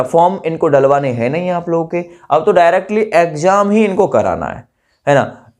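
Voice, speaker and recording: A man's voice: high-pitched, darkish tone. A man giving an informal explainer to exam candidates as a coach, posing questions, answering them himself and repeating phrases for emphasis. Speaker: exam-news presenter and coach.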